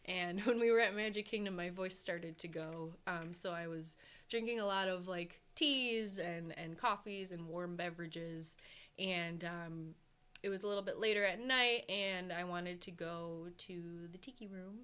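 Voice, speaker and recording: Rambling, animated woman podcaster. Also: lowish voice, unhurried, clear, resonant tone. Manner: rambling, animated, acts out what others said. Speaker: podcast co-host. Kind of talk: casual chat.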